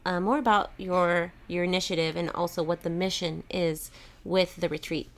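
Lower-pitched woman hosting an interview. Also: fairly even intonation, normal speed. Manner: warm, affirming, encouraging. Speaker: host.

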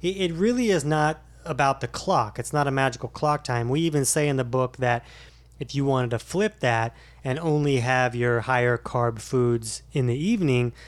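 A man's voice: mid-range voice, moderate pace. A middle-aged man co-hosting a casual podcast, explaining diet advice in an easygoing way with frequent fillers. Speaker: podcast co-host, fitness coach.

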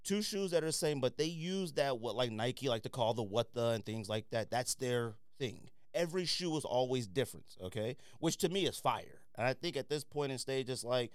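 A man with a smooth, clear voice speaks in a dry, close-sounding space. He hosts a casual review podcast, giving firm opinions in relaxed slang and hammering points by repeating them. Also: mid-range voice, normal speed, hardly any pauses, dry recording. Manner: opinionated, slangy, emphatic repetition. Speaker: podcast host. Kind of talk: casual sneaker review chat.

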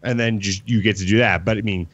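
A man's voice: on the low side, steady tone, fast talker, a bit bright, dry recording, highs cut off. A man co-hosting a casual live talk show, speaking in an opinionated, matter-of-fact way.

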